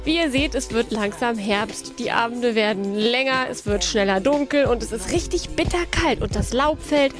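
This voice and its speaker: Woman, podcast host, high voice, chatty, playful interviewer, music in the background. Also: normal speed, hardly any pauses. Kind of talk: casual presenting and interviewing.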